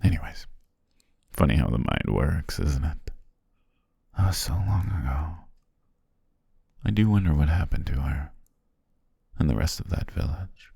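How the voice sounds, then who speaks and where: A man playing a courteous, self-amused demon king in an ASMR roleplay, speaking in a genteel, formal way with a husky, breathy voice. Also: animated, measured pace, long pauses, no room sound, clean studio sound, heavily acted. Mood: wistful. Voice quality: very deep, husky, gravelly, often breathy near whisper. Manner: leisurely, genteel, archly formal with soft chuckles.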